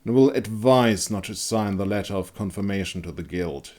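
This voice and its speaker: Man playing older male monster hunter, low voice, hardened, blunt, contemptuous, commanding, dark fantasy story. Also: moderate pace, natural voice. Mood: firm, menacing.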